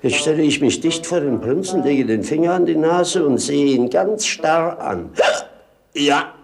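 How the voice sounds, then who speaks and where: A self-satisfied idler, slightly drunk and clownish. The voice sits fairly high for a man and has a gravelly creak. He declaims with mock pomposity in a 1950s German radio drama manner. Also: expressive, a little slow. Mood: tipsy, sly. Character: tipsy, lazy male rogue, clownish self-satisfied idler. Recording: 1950s radio play recording.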